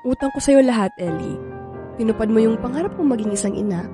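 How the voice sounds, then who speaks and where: Actress as expectant Filipino mom: high, swooping voice, coquettish and clingy, in a radio-style drama. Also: female voice, fast talker, over music. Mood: grateful, tender. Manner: coquettish, teasing, expressive. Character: playful, flirty expectant mother, clingy and teasing. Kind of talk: Filipino audio drama acting.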